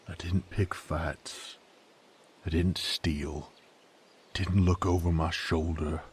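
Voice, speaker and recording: A male actor playing a brooding, rough knight with a violent past in an audio roleplay, speaking wearily in folksy, rough diction. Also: slight background noise, heavily acted. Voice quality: very low, gravelly. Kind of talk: dramatic character monologue, audio roleplay.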